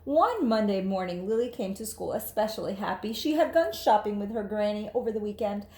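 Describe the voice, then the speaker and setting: A woman teacher reading a storybook aloud to children, expressive and emphatic, speaking to her listeners directly. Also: medium pitch, fairly quick.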